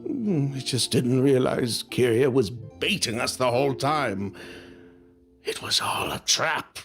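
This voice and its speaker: A man's voice: mid-range voice, expressive, takes it slowly, fairly bright tone, faint music behind. A man voicing a bitter, guilt-ridden male schemer who speaks defiantly. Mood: regretful.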